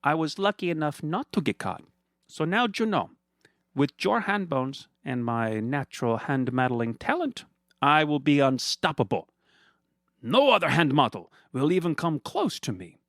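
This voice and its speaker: A man's voice: fairly high pitch, animated, normal speed, fairly bright tone, dry recording, clean studio sound, pitched above the performer's own voice. A man voicing a smug, villainous male hand model who gloats in a theatrical, condescending way. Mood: gloating. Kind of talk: comedic storytelling voice.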